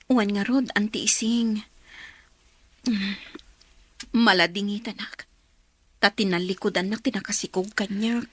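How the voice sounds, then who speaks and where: A woman's voice: medium pitch, fairly even intonation, a little slow, pauses often, a bit bright, no echo. A woman with a Filipino accent playing a young pregnant daughter, sorrowful and humble, in a radio drama. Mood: sad, resigned.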